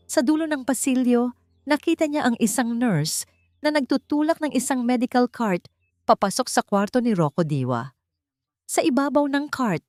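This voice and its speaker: A woman with a Filipino accent narrating a scripted dramatic story in an expressive, theatrical manner. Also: medium pitch, no room sound. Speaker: audiobook narrator.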